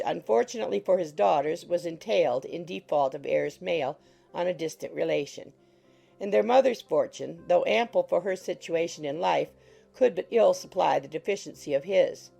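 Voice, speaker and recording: A woman's voice: lowish voice, gravelly, warm tone. A woman giving scripted audiobook narration.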